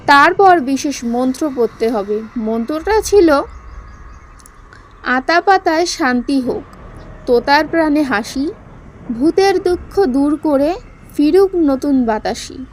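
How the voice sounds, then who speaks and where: Old village uncle, read by a woman: high voice, slow, measured advice, cartoon tale. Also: expressive, a little hiss or hum, poor audio. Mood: grave advice. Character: experienced old village uncle advising on ghosts.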